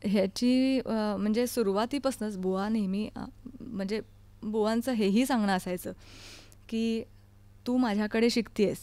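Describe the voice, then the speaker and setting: A woman's voice: mid-range voice, a little slow, mellow, dry recording. A woman, a classical vocalist speaking as an interview guest in a studio. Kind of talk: conversational interview. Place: recording studio.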